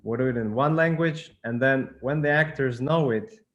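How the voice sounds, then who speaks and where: A man who writes and produces films, answering interview questions hesitantly with many pauses. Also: medium pitch, a bit bright, lo-fi sound. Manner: hesitant, many fillers and restarts.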